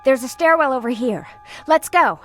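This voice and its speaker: A woman's voice: sits high, lively intonation, normal speed, faint music behind. An actress playing a practical, plucky woman in danger in a video game, speaking in an urgent, plain way. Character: plucky, practical adult female civilian in danger. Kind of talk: video game character acting.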